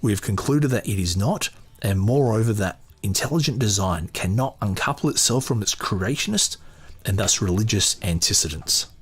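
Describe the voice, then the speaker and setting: A male podcast host with a fairly low, rough-edged husky voice. He reads aloud and adds his own commentary off the cuff. His delivery is opinionated and emphatic. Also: lively intonation, moderate pace.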